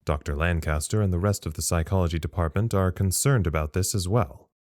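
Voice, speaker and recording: A man playing a thoughtful, uneasy log-keeper at a secret research site in an audio drama, measured and confiding. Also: deep voice, a little mellow, dry recording, studio quality, natural voice. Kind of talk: audio drama monologue as a recorded incident log.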